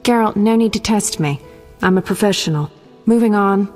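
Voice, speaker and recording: A woman's voice: mid-range voice, normal speed, darkish tone, faint music behind, natural voice. A woman voicing a confident, professional medic in a video game, clinical and matter-of-fact. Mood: clinical, brisk. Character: brisk, confident young female medic, proud professional. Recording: long hall-like reverb.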